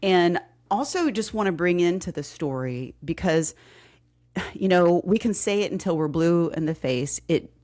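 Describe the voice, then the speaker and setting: A woman's voice: on the low side, expressive, normal speed, a little mellow. A woman from Texas hosting a fitness podcast, asking questions in an enthusiastic, encouraging way. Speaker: podcast host and fitness coach. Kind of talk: casual interview chat.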